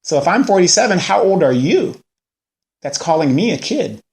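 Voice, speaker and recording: A man's voice: high-pitched, very animated, normal speed, a little mellow. A man in his forties hosting a local news show, ranting in a casual way and firing off rhetorical questions. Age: 40s. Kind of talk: casual political commentary.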